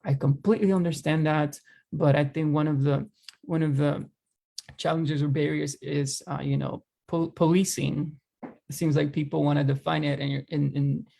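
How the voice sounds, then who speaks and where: A young man co-hosting a podcast discussion, speaking haltingly and searching for words, with many fillers. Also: on the high side, flat delivery, gravelly, mellow. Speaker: podcast co-host and teacher. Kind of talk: casual discussion.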